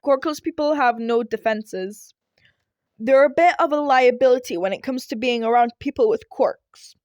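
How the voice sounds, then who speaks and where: Woman putting on a blustering, excitable male hero. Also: on the high side, normal speed, dry recording, natural voice. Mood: awkward, probing. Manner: blustering, incredulous. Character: excitable, doubting, self-important male hero.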